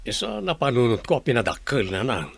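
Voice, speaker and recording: A man's voice: mid-range voice, big pitch swings, normal speed, creaky, breathy edge, no echo, exaggerated voice. A man acting a dutiful, earnest village father in an Ilocano radio drama, with an Ilocano Filipino accent. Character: dutiful, house-proud village father, devoted to his child.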